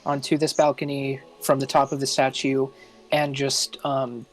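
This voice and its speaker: A tabletop game player speaking casually in a hesitant, halting way. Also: mid-range voice, flat delivery, soft background music.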